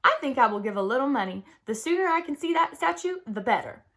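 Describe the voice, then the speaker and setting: A woman voicing an excitable, chattering neighbour woman from a children's storybook, with emphatic delivery. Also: high-pitched, quick pace, exaggerated voice. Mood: regretful, eager.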